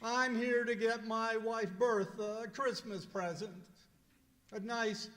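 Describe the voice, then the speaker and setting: An actor playing a doleful, henpecked middle-aged postman with drawn-out, plaintive delivery in an old-time radio comedy. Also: very high-pitched, fairly even intonation, fairly bright tone, heavily acted. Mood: doleful, polite. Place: live performance in a museum hall. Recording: echoey hall, live stage recording.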